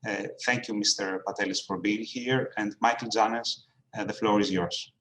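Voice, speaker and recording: A man with a low voice and very flat intonation gives formal diplomatic remarks. He speaks in a measured, halting way, as an official welcoming an online audience. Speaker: diplomat, consul general giving welcome remarks. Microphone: video call.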